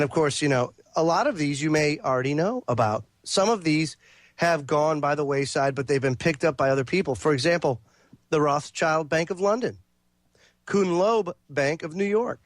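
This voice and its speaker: A man hosting a talk radio show, his voice sitting a touch high and sounding congested from a cold. He talks through a long monologue, reading passages aloud and stumbling over fillers and restarts, all caught on a dry, close recording with no room echo. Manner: reads quotes and lists aloud, with fillers and restarts.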